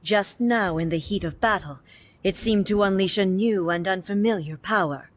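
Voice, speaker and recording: An actress voicing a stern, composed female warrior ruler in a video game cutscene, speaking in a measured, formal, solemn way. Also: medium pitch, lightly acted. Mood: reflective. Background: faint game music.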